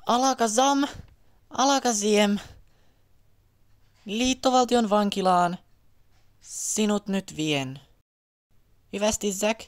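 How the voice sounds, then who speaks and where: A woman's voice: mid-range voice, long pauses, close to an everyday voice. A woman voicing a wistful, mystical forest fairy in an amateur cartoon parody dub. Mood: theatrical. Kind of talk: amateur comedy fandub. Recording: music under the voice.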